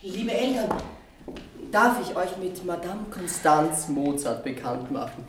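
A well-born young lady with a notably low voice for a woman. She is polite, gracious and formal, in the style of literary radio drama. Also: very animated, slow pace, noisy background. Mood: courteous. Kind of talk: German radio play acting.

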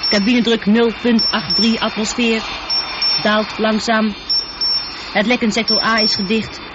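A woman playing a calm, thoughtful female ship's computer with a precise, measured delivery and a touch of loneliness, in a radio drama. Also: fairly high pitch, natural voice. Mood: clipped, urgent reporting. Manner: precise, factual, composed. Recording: dull, noisy old radio drama recording.

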